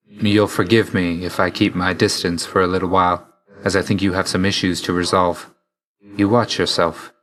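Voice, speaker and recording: A deep-voiced god of death for a video game. He is dutiful and reserved, with a measured, formal delivery. His manner stays understated, weary yet quietly fond. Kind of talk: video game character voice lines.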